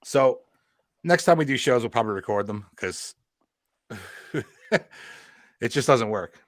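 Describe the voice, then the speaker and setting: Male podcast host, relaxed and loose, mid-range voice, dry recording, laughs a lot. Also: medium pitch, measured pace, no room sound. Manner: laid-back, swears casually, laughs often. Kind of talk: casual chat.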